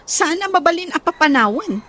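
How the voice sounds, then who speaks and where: Woman playing disdainful young female student, piercingly high bright voice, swooping intonation, Ilocano accent, radio drama. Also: animated, a little fast. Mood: haughty. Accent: Ilocano Filipino.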